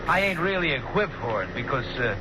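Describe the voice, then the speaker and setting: An actor with a Brooklyn accent playing a streetwise, slangy cab driver in a 1940s radio drama. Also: male voice, medium pitch, very animated, brisk, over a noisy crowd, lightly acted. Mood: wry. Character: streetwise male cab driver, talks tough. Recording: muffled old radio broadcast with hiss.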